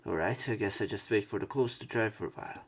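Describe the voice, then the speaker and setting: A man's voice: lowish voice, fast, a little hiss or hum. A man reading a visual novel aloud as a let's player, acting out the dialogue expressively. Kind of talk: dramatic read-aloud narration of a visual novel.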